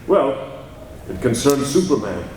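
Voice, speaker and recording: A man acts an earnest reporter hero of a radio play, confiding as he tells his tale. His pitch moves animatedly. He is far from the mic in a hugely echoey hall. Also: big pitch swings, a little slow, a little mellow. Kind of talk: 1940s-style radio acting. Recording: distant, echoey hall, faint noise.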